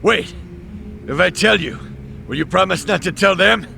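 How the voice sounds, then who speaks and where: An actor playing a cornered, low-ranking young gang member, blunt, sarcastic and foul-mouthed, in an English video game dub. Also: male voice, expressive, normal speed, creaky, bright, forceful tone, slight background noise, full character voice. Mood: panicked. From Japan.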